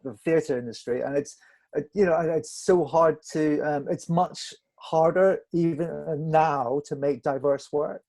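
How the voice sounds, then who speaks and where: A man reflecting as a film director in a panel discussion, halting and restarting as he searches for words. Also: on the high side, creaky, a little mellow.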